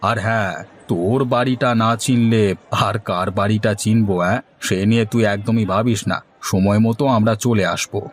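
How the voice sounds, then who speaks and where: A man's voice: fairly low pitch, fairly even intonation, moderate pace, a little hiss or hum, close to an everyday voice. A man playing a mild, polite village husband in a cartoon story, with a conciliatory way of talking. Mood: agreeable. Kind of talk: Bengali cartoon story dialogue.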